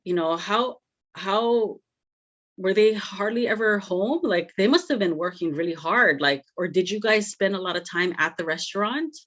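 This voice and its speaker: A woman's voice: medium pitch, lively intonation, normal speed, fairly bright tone, highs cut off. A woman hosting a podcast interview, talking casually and effusively with many "you know" fillers. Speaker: podcast host.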